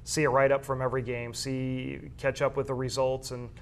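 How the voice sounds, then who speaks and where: A man with an average-pitched voice talks as a guest in an interview. He explains in a conversational way, often circling back to rephrase what he has just said. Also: level tone, normal speed, a little hiss or hum. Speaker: guest, high school athletics media coordinator and broadcaster.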